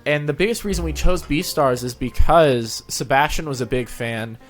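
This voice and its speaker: A man's voice: a little high, normal speed. Casual male host over background music. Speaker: show host, fan fiction reader. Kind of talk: casual interview chat.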